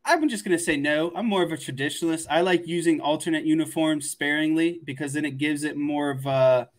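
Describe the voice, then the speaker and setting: A man's voice: a little high, brisk. A young man co-hosting a sports show, chatting casually.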